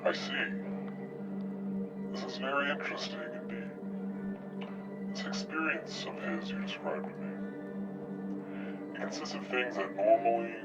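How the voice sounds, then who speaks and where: A man playing a calm, analytical doctor in an audio drama, speaking with deliberate, formal, clinical phrasing. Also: medium pitch, lo-fi sound. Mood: probing, intrigued. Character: male doctor, calm, probing, analytical scholar of strange phenomena. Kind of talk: scripted audio drama dialogue. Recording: distant mic with music behind.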